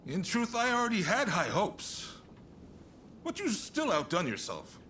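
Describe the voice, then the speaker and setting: A man acting an affable, shrewd statesman in a video game cutscene, expressive and earnest but conversational. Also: sits high, a little slow, a little hiss or hum, full character voice. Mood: grateful, sincere. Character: affable shogunate statesman, shrewd negotiator, warm toward his ally. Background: music.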